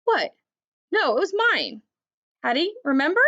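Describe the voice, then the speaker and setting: Woman voicing an excitable, high-pitched storybook dog. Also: a little slow, dry recording, lo-fi sound, heavily acted. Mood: eager. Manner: exclamatory, urgent. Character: excitable eager young male dog narrator, barking and wailing. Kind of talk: children's storybook read-aloud.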